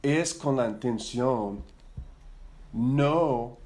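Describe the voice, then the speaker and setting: A man's voice: mid-range voice, drawn-out words, darkish tone. Man, middle-aged, non-native spanish speaker, bible study teacher, conversational, repeats words, mid-range voice. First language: not Spanish. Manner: chatty, rambling, with frequent tag questions and repeated words.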